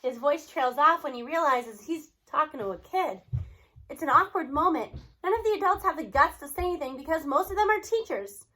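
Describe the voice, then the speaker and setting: A woman reading a children's novel aloud in an expressive storybook style, acting out the dialogue. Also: high voice, brisk, low-quality recording. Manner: animated, acts out the characters' dialogue.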